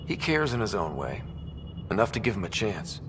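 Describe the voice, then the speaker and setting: A man's voice: fairly low pitch, sing-song, normal speed, music in the background. A man acting as a young, easygoing, adventurous space explorer in a cinematic video game. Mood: upbeat, easygoing. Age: young adult. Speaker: game character actor.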